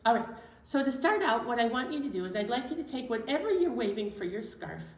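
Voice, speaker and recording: A woman's voice: a little high, big pitch swings, fast. A woman hosting a children's storytime, playfully explaining songs and activities in a sing-song way to small children. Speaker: children's library storytime host. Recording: roomy echo, distant microphone.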